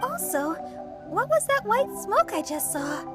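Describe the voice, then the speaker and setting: A woman's voice: very high voice, very animated, a little slow, over music, heavily acted. A woman playing a sweet, considerate and eager young girl in a video game, with a light, girlish voice. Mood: curious.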